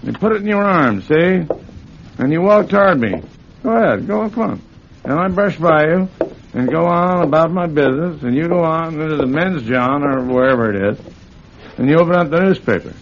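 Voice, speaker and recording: A man's voice: a little high, expressive, moderate pace. A man playing a cocky middle-aged American con man and thief in an old-time radio crime drama, slangy, brash and bossy. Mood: instructing, cocky. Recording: muffled, hissy old radio broadcast.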